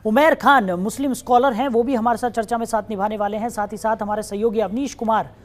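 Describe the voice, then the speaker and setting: Brisk woman news anchor. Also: fairly high pitch, fairly even intonation. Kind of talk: scripted news narration and hosting.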